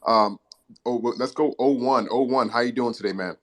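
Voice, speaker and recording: A man hosting a casual online audio chat, keeping things moving briskly. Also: mid-range voice, fairly bright tone. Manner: brisk, moves things along. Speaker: host.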